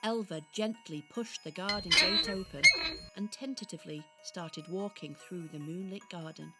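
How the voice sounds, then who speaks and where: A woman's voice: on the low side, moderate pace, loud music behind the voice, natural voice. A woman reading scripted narration for a children's audio story.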